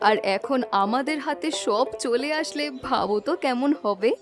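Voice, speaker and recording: A ruthless, greedy village wife plotting in a cartoon melodrama, acted by a woman. Her voice is high, and plucked-string music plays clearly behind her. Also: moderate pace, lightly acted. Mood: scheming.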